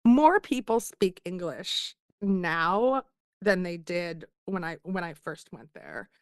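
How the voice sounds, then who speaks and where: Woman, 30s, guest author on a podcast; chatty storyteller, smooth, clean dry sound. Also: lowish voice, slow, dry recording. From Philadelphia. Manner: chatty, self-deprecating, lively storytelling. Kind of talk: casual podcast interview.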